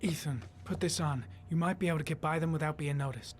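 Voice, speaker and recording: A woman playing a determined police officer in a video game, speaking in a hushed, urgent way. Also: deep voice, quick pace, slight background noise, natural voice. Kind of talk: video game cinematic acting.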